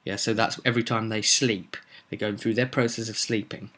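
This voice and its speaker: A man's voice: fairly low pitch, fairly even intonation, brisk, pushed and bright. A man giving an informal spoken recap as game master of a tabletop roleplay, explaining events with emphasis like a storyteller.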